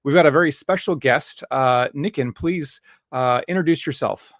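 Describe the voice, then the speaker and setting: A man's voice: fairly high pitch, normal speed, no room sound, studio quality. A man hosting a webinar interview in an upbeat, friendly way, with frequent "uh" and "um" fillers. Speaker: host. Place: Dallas, Texas.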